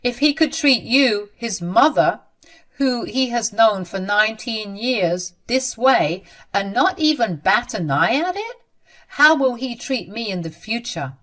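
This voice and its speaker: A woman's voice: on the high side, expressive, a little slow, fairly bright tone. A woman doing a fiery, protective young bride. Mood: indignant. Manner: emphatic and outraged.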